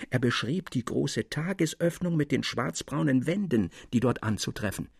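Male narrator, literary audiobook, husky, very lively intonation, measured phrasing, dry studio sound. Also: medium pitch, no echo. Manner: measured, expressive, articulate.

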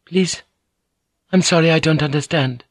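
A man's voice: a little high, moderate pace, dry recording, voice pushed up, lightly acted. Brief: male narrator doing a polite, earnest noblewoman in an audiobook, raised and lilting, dry close sound. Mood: earnest, apologetic. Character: gracious young Japanese noblewoman interpreter, anxious. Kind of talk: audiobook character voice.

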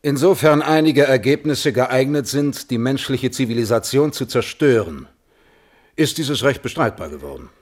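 A man acting as a thoughtful, scrupulous scientist in a German radio play, testifying in a measured, carefully worded way. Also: mid-range voice, expressive, natural voice. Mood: grave, firm. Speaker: witness under questioning.

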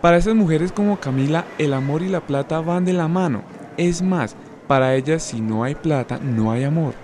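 A man's voice: fairly high pitch, moderate pace, a little mellow, a little hiss or hum, natural voice. A man playing a skeptical, teasing young friend in a radio soap, blunt and slangy with a Bogotá accent. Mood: cynical. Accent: Colombian, Bogotá. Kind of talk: amateur radio soap opera acting.

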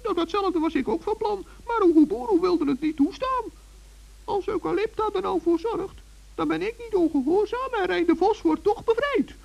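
A man playing a small, kind-hearted forest gnome in a children's radio play, hesitant and innocent in a creaky, squeaky voice. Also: normal speed, a little mellow. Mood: relieved, musing. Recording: dull vintage radio recording.

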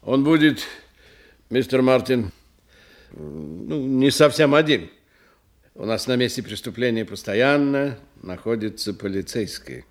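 A male actor playing a brisk police inspector in a Russian radio play, offhand and muttering, often repeating himself. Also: medium pitch, close to an everyday voice.

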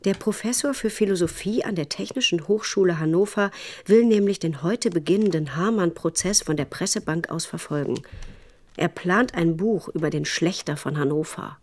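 An actress playing an educated, critical journalist and wife, narrating her life in a measured, dry, chronicle-like way for a radio drama. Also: lowish voice, darkish tone, close to an everyday voice. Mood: factual. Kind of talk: scripted first-person radio drama narration.

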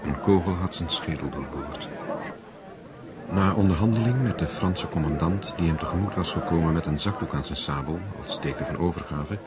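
A man narrating a radio drama in scripted literary storytelling. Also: sits low.

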